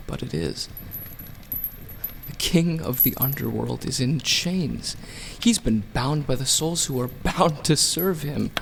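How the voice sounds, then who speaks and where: A man acting as a gloating, sarcastic god in a radio play, with a creaky voice and a taunting manner. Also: medium pitch, background noise. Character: gloating, mocking male god, king among rivals.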